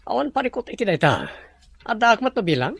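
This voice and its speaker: A man's voice: sits high, big pitch swings, fast, creaky, a bit bright, husky, dry recording, exaggerated voice. A man playing a proud, aggrieved rival in love in an Ilocano audio drama. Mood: scornful, demanding.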